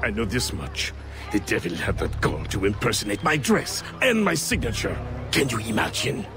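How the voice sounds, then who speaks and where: An actor playing an indignant, haughty colonial gentleman in a video game, speaking pompously. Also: lowish voice, huge pitch swings, moderate pace. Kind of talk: video game cutscene acting. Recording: music behind the voice.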